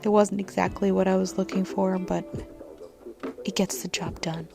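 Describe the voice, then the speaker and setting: A woman with a scratchy, congested-sounding throat, narrating a journaling video in a soft, half-whispered voice. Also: mid-range voice, flat delivery, moderate pace, gravelly. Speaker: journaling vlogger. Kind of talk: soft-spoken voice-over narration. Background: lo-fi music.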